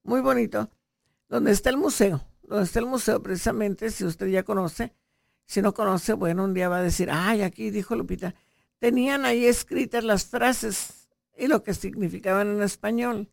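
A woman's voice: medium pitch, moderate pace, creaky, no room sound, clean studio sound. A woman hosting a radio show, sending chatty, playful greetings to listeners. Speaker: radio host.

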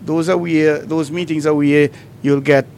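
Measured man on talk radio. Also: a little high, flat delivery, a little mellow, a little hiss or hum. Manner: measured, explains step by step with examples. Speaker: radio talk show co-host. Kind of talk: call-in radio discussion.

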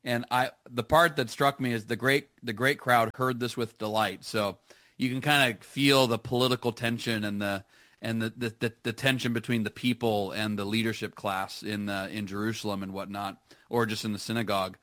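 Bright-voiced man on a live radio call. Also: fairly low pitch, steady tone, normal speed, no echo, clean studio sound. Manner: enthusiastic, frequent restarts and fillers. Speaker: guest. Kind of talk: casual radio conversation.

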